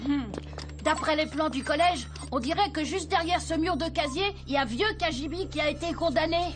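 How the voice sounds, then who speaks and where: Woman doing a brainy cartoon schoolboy sidekick, high voice, matter of fact, bit of music. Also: brisk, close to an everyday voice. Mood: pondering. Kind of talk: animated cartoon dubbing in French.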